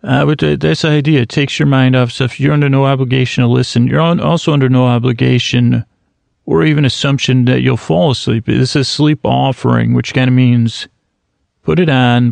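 Gravelly, rambling man in his thirties. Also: mid-range voice, steady tone, darkish tone, no room sound. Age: 30s. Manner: slow, meandering, halting with many restarts and fillers. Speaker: sleep podcast host. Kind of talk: rambling bedtime monologue.

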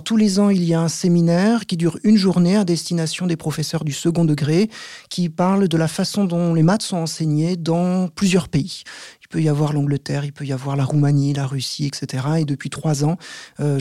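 Mellow-voiced man explaining carefully. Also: fairly high pitch, steady tone, no room sound, clean studio sound. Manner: measured, explains step by step with frequent "euh" pauses. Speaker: maths teacher, podcast guest. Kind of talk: explanatory interview answers.

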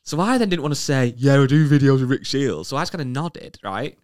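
A man's voice: mid-range voice, a little fast. A man co-hosting a casual podcast, telling an anecdote with laughter and acted-out quotes. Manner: animated anecdote-telling, acts out quoted voices, laughs often. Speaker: podcast co-host. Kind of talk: casual chat, storytelling.

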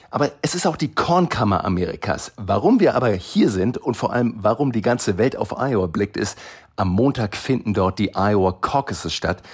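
A man's voice: on the low side, a little mellow, husky. A man co-hosting a political podcast as a campaign strategist, talking energetically and with emphasis. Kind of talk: political analysis chat.

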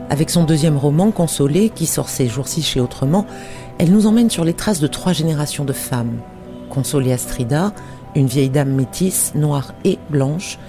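A man hosting an interview, reading a measured introduction and then asking questions. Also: fairly high pitch, mellow. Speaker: interviewer host.

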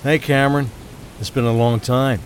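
A man's voice: medium pitch, steady tone, moderate pace, natural voice. Man acting a guarded thriller gunman, faint noise. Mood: wary. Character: guarded gunman facing an old acquaintance. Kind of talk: crime audio drama acting.

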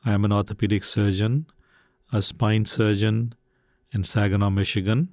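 Low, flat-voiced older man from Pakistan. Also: no echo. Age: 70s. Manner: measured, formal, dry replies. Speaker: guest. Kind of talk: podcast interview.

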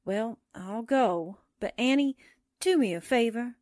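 A woman's voice: fairly high pitch, expressive, measured pace, a little mellow, no room sound, studio quality. Woman acting a swooping, wisecracking sidekick. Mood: wry, resigned. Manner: sardonic, wry. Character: wisecracking, reluctant sidekick of a newspaperwoman. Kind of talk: 1940s radio drama acting.

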